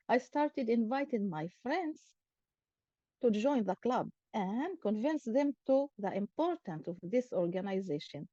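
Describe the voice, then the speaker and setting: Woman guest speaker from Israel, first language Arabic, higher voice, mellow tone, steady prepared delivery, muffled call audio. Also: no echo. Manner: measured, reading from notes, with small grammar slips. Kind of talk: prepared talk over video call.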